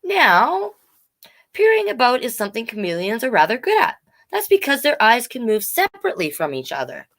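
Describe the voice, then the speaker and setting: Expressive woman reading aloud at storytime, lively pitch. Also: a little fast. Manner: expressive, playful, explains to young listeners. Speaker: host reading a children's picture book. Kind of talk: storytime read-aloud with chatty asides.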